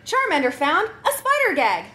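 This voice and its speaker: A woman's voice: very high-pitched, wildly swooping pitch, normal speed, heavy background noise. A woman reading a comic story aloud as a performer, giggly and prone to breaking up as she goes. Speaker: comedy troupe member reading a story.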